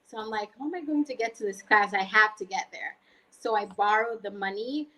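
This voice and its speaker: Young Jamaican woman, twenties, guest sharing a personal account in a conversational way, medium pitch. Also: brisk, sharp tone, rough recording. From Montego Bay, Jamaica. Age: 20s. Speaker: guest, online freelancer. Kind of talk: personal story told conversationally.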